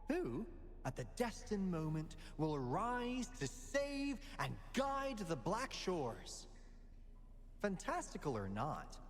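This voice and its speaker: Game role: flamboyant, knowing male guardian, very animated line readings, long reverb. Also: medium pitch, a little hiss or hum. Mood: reverent storytelling. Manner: theatrical, dramatic pauses and flourishes. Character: theatrical, playful male guardian of a secret island order, knowing and flamboyant. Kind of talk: video game voice acting.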